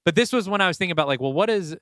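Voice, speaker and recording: A man's voice: high-pitched, animated, racing, a bit bright, clear, resonant tone, no room sound, clean studio sound. A man co-hosting a podcast, casually brainstorming story ideas in a tentative, thinking-aloud way. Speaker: podcast co-host and writer. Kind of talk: casual creative brainstorming talk.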